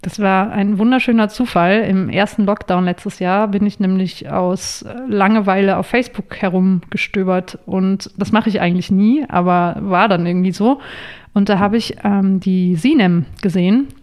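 A young woman with a smooth, clear voice of middle pitch talks as a podcast guest. She answers in a friendly way and explains things patiently, at length. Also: fairly even intonation, normal speed, a little mellow. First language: not English. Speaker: podcast guest, online writer. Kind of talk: conversational interview answers.

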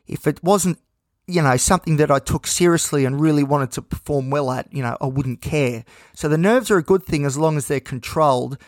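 Casting note: male podcast host with a slightly raised, croaky voice, nervous and rambling, recorded close and dry. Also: on the high side, fairly quick, dry recording. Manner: rambling, candid, with many fillers and restarts. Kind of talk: casual solo podcast monologue.

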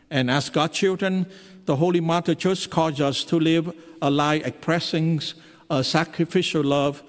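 A man with a Vietnamese accent, preaching a homily as a priest, pausing often with fillers. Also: on the high side. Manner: halting, with frequent fillers. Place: church. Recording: long hall reverb in a church.

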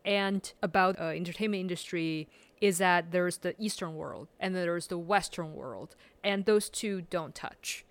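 A woman's voice: fairly low pitch, a little slow, no room sound. A woman from Taiwan speaking as a podcast guest in a thoughtful, reflective way. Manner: thoughtful, reflective, with frequent fillers and restarts. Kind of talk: casual conversational podcast.

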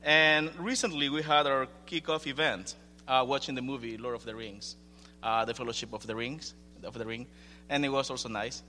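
A man's voice: mid-range voice, steady tone, moderate pace, bright, forceful tone. A young man from Ecuador with a Spanish accent, giving an informal, hesitant and self-deprecating update to a congregation. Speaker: young adults ministry leader. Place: large room, speaking to a gathering. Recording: echoing hall with faint hum and noise.

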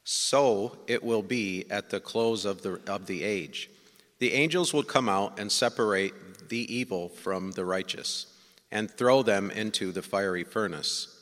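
A man reads the Gospel formally at a church service, taking his time in a fairly low voice. His words ring out with long reverb in a large church. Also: a little slow, fairly bright tone. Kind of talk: formal liturgical scripture reading.

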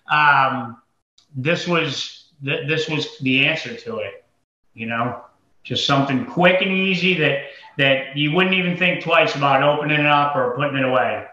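Bright-voiced Massachusetts man in an echoey room. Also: medium pitch. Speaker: entrepreneur guest. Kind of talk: casual interview storytelling. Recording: dull lo-fi, roomy echo.

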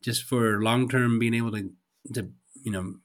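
A man in his forties who grew up in Spain, a missionary guest telling his story with many pauses and restarts. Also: mid-range voice, fairly even intonation. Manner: hesitant, many fillers and restarts. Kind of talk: casual interview.

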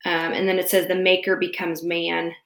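A woman's voice: on the low side, level tone, moderate pace, a bit bright, echoey room. A woman in her thirties talking reflectively in a casual faith conversation, searching for words as she goes. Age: 30s.

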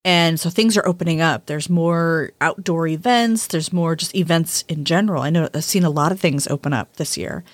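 Woman, podcast co-host, low pitch, dry sound, chatty, laughs often. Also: moderate pace, few pauses, dry recording. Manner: chatty, laughs often, repeats words as she thinks. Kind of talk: casual podcast chat.

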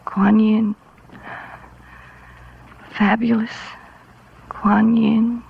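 A woman's voice: on the high side, level tone, extremely slow, creaky, slight background noise, exaggerated voice. An actress playing an obsessed, murderous woman professor in a 1940s radio drama, dramatic and intense. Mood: awed, obsessed. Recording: dull vintage radio recording.

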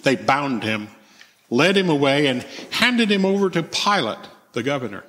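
A man's voice: a little high, very animated, moderate pace, a bit bright. A man reading a Bible narrative aloud as the narrator at church. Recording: long church reverb.

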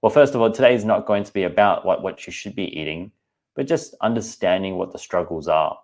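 Australian man, 30s, deep voice; chatty, candid podcast host talking straight to listeners. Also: lively intonation, a little fast, a little mellow. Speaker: podcast host and personal trainer. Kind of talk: casual podcast monologue.

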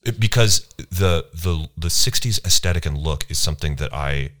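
A man hosting a podcast interview at a convention, enthusiastic and halting, with many restarts and fillers. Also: very deep voice, animated, a bit bright. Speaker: podcast host. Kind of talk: casual interview. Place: convention venue.

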